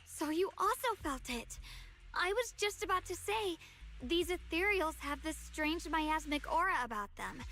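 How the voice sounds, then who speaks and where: Earnest, very high-pitched young swordswoman. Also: expressive, unhurried, bright, forceful tone, exaggerated voice. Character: earnest young female swordswoman, concerned and determined. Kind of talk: video game voice acting.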